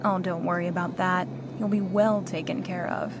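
A woman's voice: on the low side, fairly even intonation, normal speed, natural voice. A woman playing a friendly, solicitous flight attendant in an audio drama, speaking in polite, reassuring patter. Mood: friendly, attentive. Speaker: voice actor in an audio roleplay. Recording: clear cabin noise behind the voice. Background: airplane cabin ambience.

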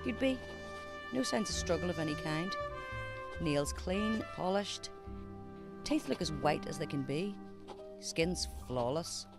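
An actor playing a matter-of-fact, methodical forensic pathologist in an amateur radio drama, explaining findings in a measured way. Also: medium pitch, lively intonation, music in the background.

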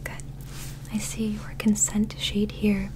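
A young woman playing a calm, caring alien physician in a whispered, gentle ASMR medical roleplay, close to the microphone over background noise. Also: medium pitch, lightly acted, low-quality recording. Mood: gentle, reassuring. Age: young adult. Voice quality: whispery, soft. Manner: slow, gentle, clinical, deliberate.

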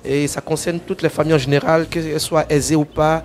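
A man answering interview questions into a handheld mic indoors, speaking formally and deliberately, pausing often. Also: a little high, flat delivery. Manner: deliberate, formal, frequent "euh" pauses. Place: indoor conference room.

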